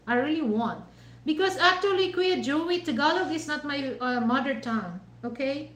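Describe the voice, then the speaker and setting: A woman's voice: high-pitched, animated, normal speed, a bit bright, echoey room. A woman from the Philippines with a Filipino accent, chatting casually on a livestream while eating dinner, rambling with many "you know" fillers. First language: Mandaya. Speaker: livestream host.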